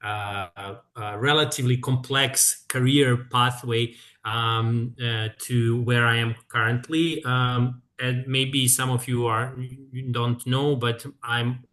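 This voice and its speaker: Halting man in his thirties. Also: mid-range voice, fairly even intonation, a bit bright. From Serbia. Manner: halting, with frequent filler sounds. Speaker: guest speaker, researcher. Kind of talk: presentation in an online seminar. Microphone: video call.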